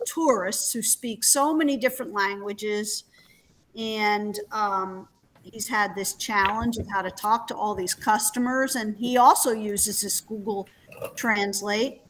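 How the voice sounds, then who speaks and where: A woman's voice: on the high side, unhurried, noisy background. A woman hosting a webinar in a conversational presenting style. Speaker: webinar host.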